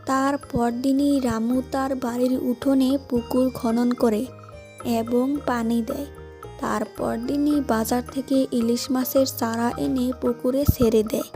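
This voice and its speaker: Female storyteller for a children's cartoon, slightly high voice, unhurried delivery, clear music behind. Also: steady tone, warm tone. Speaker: narrator. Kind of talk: scripted children's story narration.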